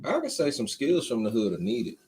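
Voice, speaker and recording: A man hosting a casual talk show, loud and emphatic, laughing often as he tells stories. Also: mid-range voice, huge pitch swings, fairly quick. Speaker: host. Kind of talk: casual podcast chat.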